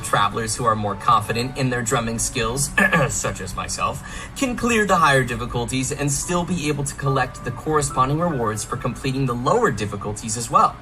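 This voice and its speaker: A man presenting scripted game announcements in an explanatory, measured way. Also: medium pitch, expressive, poor audio. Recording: music playing behind the voice.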